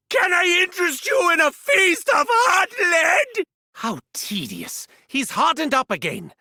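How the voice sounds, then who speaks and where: A scheming German mad scientist with a thick German accent, sneering and gloating over his own genius. His voice leaps wildly up and down, bright and sharp-edged, and he savors each cruel word slowly. Recorded close and dry. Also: extremely high pitch, dry recording, heavily acted. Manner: theatrical, mocking, gleeful cruelty. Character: manic, sardonic German mad scientist, adult man. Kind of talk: video game voice lines.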